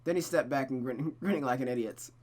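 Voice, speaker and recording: A streamer with a mid-pitched voice reads a visual novel aloud, narrating expressively and adding casual asides. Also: a little fast, a little mellow.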